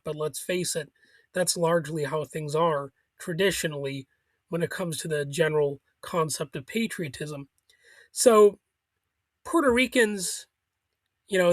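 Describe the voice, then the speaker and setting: A man's voice: fairly high pitch, dry recording. A man hosting a solo political commentary show, arguing critically, with chuckles and small restarts.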